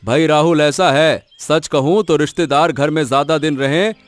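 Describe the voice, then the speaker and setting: A man's voice: on the high side, fairly even intonation, moderate pace, no room sound, close to an everyday voice. A man voicing a mild, forgiving householder in a cartoon moral story, with a patient, explaining manner. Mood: patient, firm. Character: mild, forgiving male householder and papaya grower.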